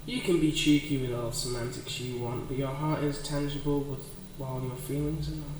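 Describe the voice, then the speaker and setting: A man voicing a possessive, seductive demon who speaks in measured, formal, condescending phrases in an ASMR roleplay. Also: mid-range voice, fairly even intonation, noisy background, natural voice. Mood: condescending, probing. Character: possessive, seductive male demon hungry for hearts. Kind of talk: scripted ASMR roleplay addressed to the listener. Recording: very echoey, distant, music behind.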